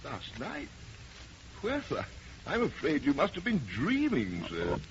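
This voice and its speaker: An actor playing a bewildered, courteous middle-aged gentleman in a formal, dramatic old-time radio style. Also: on the high side, wildly swooping pitch, unhurried. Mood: polite, puzzled. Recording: muffled, faintly noisy vintage radio recording.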